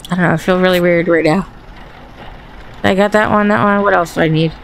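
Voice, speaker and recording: A woman with a Southern accent and a raspy voice chats to the ghosts while playing a horror game. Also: fairly low pitch, fairly even intonation, a little fast, a little hiss or hum, low-quality recording. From Texas. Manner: talks to the game and its ghosts, jumpy and chatty. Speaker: gaming channel host. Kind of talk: horror game let's play commentary.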